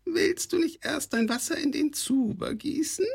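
A man's voice: very high voice, animated, moderate pace, vocal fry, voice pushed up, heavily acted. A man voicing a sly, spiteful little male goblin with a squawking, nasal voice, mocking as he talks. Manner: mocking, gloating. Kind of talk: audiobook character voice.

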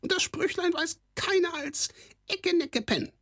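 A man's voice: very high-pitched, expressive, unhurried, fairly bright tone, pitched above the performer's own voice, exaggerated voice. A man voicing a sly, spiteful little male goblin with a squawking, nasal voice, mocking as he talks. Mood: gloating. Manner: mocking, gloating. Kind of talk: audiobook character voice.

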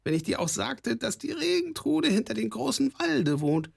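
A man's voice: very high-pitched, big pitch swings, normal speed, vocal fry, husky, pitched above the performer's own voice, heavily acted. A man voicing a sly, spiteful little male goblin with a squawking, nasal voice, mocking as he talks. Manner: mocking, gloating. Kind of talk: audiobook character voice.

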